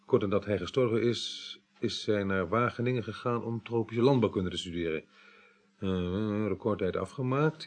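Low-voiced male police detective played by an actor. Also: a little mellow, lightly acted. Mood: methodical, hesitant. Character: businesslike male police detective reporting on a case. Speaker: police investigator. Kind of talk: Dutch radio drama acting.

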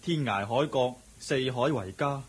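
A man's voice: medium pitch, unhurried, husky. A male actor playing an exasperated, sulky young husband in a Hong Kong Cantonese radio drama, complaining and sighing.

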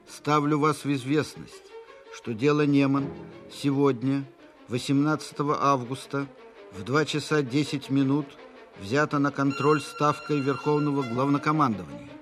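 Actor as a solemn dispatch reader over music. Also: male voice, mid-range voice, measured pace, lightly acted. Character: formal, solemn reader of official wartime dispatches. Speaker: reader of a military telegram in an audio drama. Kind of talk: scripted dramatic narration. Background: faint music.

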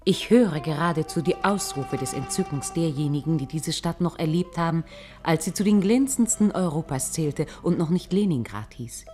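Low-voiced woman narrating a radio play. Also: animated, moderate pace, dark tone. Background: music.

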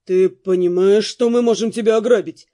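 A man's voice: high-pitched, fairly even intonation, normal speed, dark tone, natural voice. An actor playing an indignant, sulky young male robber in an audiobook, with whiny, blustering complaints. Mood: threatening, frustrated.

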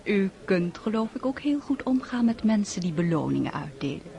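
Worldly countess, coy, teasing, animated intonation, radio drama. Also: female voice, mid-range voice, moderate pace, gravelly.

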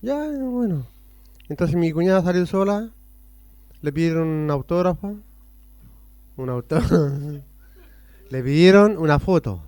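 Chilean man, rambly and repetitive, smooth voice, dead dry sound. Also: a little high, expressive, unhurried, long pauses, a little mellow, no room sound. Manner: rambling, jumps between topics with repeats. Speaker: interviewee on hospital radio. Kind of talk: casual rambling storytelling.

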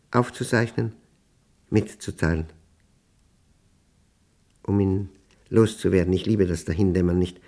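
A methodical, troubled professor in a radio play. His voice sits fairly low and moves very slowly. Each phrase is set down as a precise, pedantic fragment, like a private diary of nightmares. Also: a little mellow. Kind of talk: German radio play monologue.

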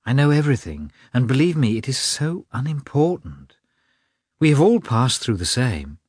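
A man's voice: mid-range voice, very animated, moderate pace, a little mellow, slightly rough, no room sound, studio quality, pitched above the performer's own voice. A man reading a novel aloud, voicing a patient older sister who tries to soothe and persuade. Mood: coaxing.

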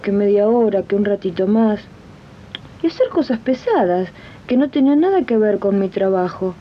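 An actress playing an exploited, fed-up domestic worker turned union organizer in an Argentine radio drama, plain-spoken and earnest. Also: female voice, mid-range voice, lively intonation, moderate pace, lightly acted. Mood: weary, indignant. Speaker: radio drama lead and narrator. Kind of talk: 1980s radio drama acting. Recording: dull, noisy 1980s archive radio tape.

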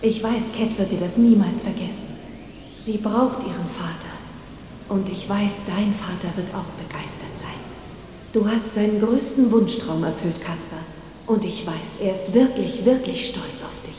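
Echoing as if across a great hall, an actress plays a woman's ghost, an angelic mother with a soft, mellow voice. She speaks tenderly and solemnly, reassuring the listener in this radio play, a little far from the mic. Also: mid-range voice, measured pace, close to an everyday voice. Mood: kindly, gracious. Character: gentle angelic ghost of a loving dead mother. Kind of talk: film audio drama acting. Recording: echoey hall, distant, faint noise.

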